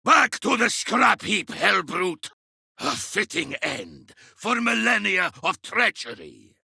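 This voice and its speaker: A man voicing a rough, brash space marine in a video game, loud, savage and taunting. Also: high-pitched, big pitch swings, takes it slowly, no echo, clean studio sound, heavily acted. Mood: savage gloating. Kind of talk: video game battle barks.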